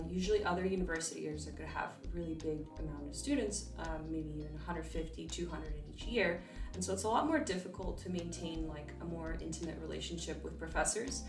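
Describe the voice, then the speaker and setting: A young woman in her twenties whose first language was Russian talks easily and a little low, with plenty of fillers and restarts. She sounds set back from the mic in an echoing room, with music playing beneath her voice. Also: recorded from a distance. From California, USA. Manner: relaxed, chatty, with frequent fillers and restarts. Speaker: interview guest, vet student. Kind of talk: casual interview chat.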